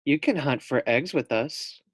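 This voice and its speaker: A man voicing a friendly, matter-of-fact schoolgirl in a children's storybook, gently correcting in plain statements. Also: medium pitch, lively intonation, unhurried, slightly rough, dry recording. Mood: cheerful.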